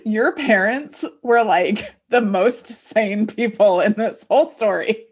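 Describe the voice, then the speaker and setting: A woman's voice: medium pitch, slow pace, darkish tone, breathy edge. A middle-aged American woman co-hosting a podcast, chatting casually and playfully, with plenty of "like" in her speech. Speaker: podcast co-host. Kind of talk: casual, reactive chat.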